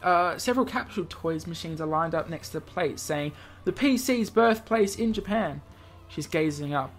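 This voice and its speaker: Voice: medium pitch, animated, moderate pace, soft background music. A video game host reading a visual novel aloud, stumbling over words and dropping in jokey offhand comments. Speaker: let's play video host.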